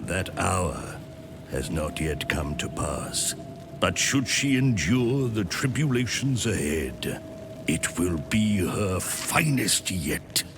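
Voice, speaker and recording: A grave storyteller narrating an epic fantasy game. His low voice moves slowly and solemnly, with portentous weight. Loud music runs underneath. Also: big pitch swings, exaggerated voice. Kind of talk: scripted cinematic narration.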